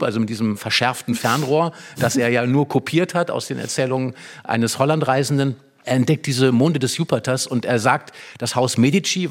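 A man talking in a conversational, explanatory way, analytical and emphatic, often posing rhetorical questions. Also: mid-range voice. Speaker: literary discussion guest explaining a play.

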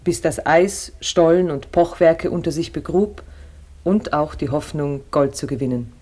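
A woman playing a calm, knowledgeable narrator, delivering scripted narration in a radio play. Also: deep voice, unhurried, slight background noise. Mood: measured. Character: calm, knowledgeable female narrator explaining history.

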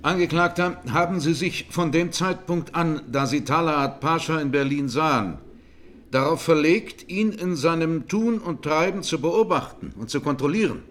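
A male actor as a presiding judge in a radio courtroom drama. His voice sits slightly high for a man. He questions the accused formally, with precise, probing insistence. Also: normal speed, fairly bright tone, lightly acted. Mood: formal, insistent.

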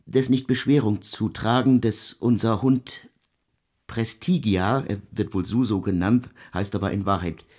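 A brooding, doomed composer in a radio play, low and slightly husky. He speaks very slowly, murmuring a solemn, old-fashioned address with halting repetitions. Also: fairly even intonation, darkish tone. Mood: apologetic, halting. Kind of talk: German radio drama acting.

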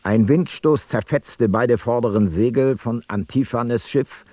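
A man playing an ancient Greek seafarer, reciting a ship's logbook in a solemn, measured way for a radio play. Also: mid-range voice, background noise, natural voice. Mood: grave, solemn.

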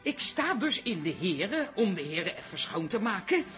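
A woman playing a chatty, excitable older toilet attendant in a radio play, flustered and stammering. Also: mid-range voice, moderate pace. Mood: alarmed, gossipy.

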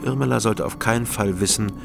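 An actor playing a troubled, gentle loner in a radio crime drama, speaking in an understated, slightly hesitant way. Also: male voice, fairly low pitch, fairly quick, vocal fry, over music. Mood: reflective. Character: awkward, troubled loner man, gentle with a child. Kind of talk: German radio crime drama acting and narration.

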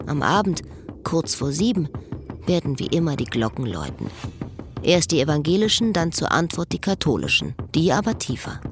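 A woman's voice: sits low, huge pitch swings, normal speed, over music. A woman acting a disillusioned, wry woman's introspective monologue in a literary radio drama.

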